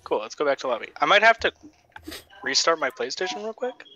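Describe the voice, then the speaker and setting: A man's voice: fairly high pitch, sing-song, fast, bright, forceful tone, a little hiss or hum. A man chatting bluntly and offhand with friends during an online game. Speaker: gaming friend. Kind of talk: casual gaming chat.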